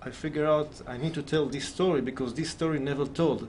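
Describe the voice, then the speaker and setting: A man's voice: fairly high pitch, fairly even intonation, racing, a little mellow, a little hiss or hum. A man in his thirties from Israel with an Israeli accent, answering in conversational, non-native English as an interview guest. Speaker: film director, interview guest. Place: TV studio.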